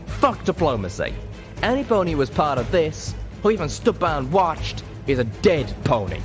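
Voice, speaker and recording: Man voicing an enraged, vengeful pony stallion, raised pitch. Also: wildly swooping pitch, normal speed, faint music behind. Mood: furious. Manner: angry, forceful outbursts. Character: enraged male pegasus pony swearing vengeance.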